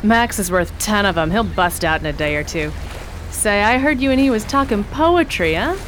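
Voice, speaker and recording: A woman acts out a worldly, hard-bitten actress in a radio play. She talks bluntly in rough slang, bossy yet protective. The recording has clear noise running behind her voice. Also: mid-range voice, lively intonation, normal speed, a bit bright, close to an everyday voice, low-quality recording. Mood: knowing, chatty. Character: worldly, tough-talking coastal actress, brash and protective. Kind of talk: radio drama acting.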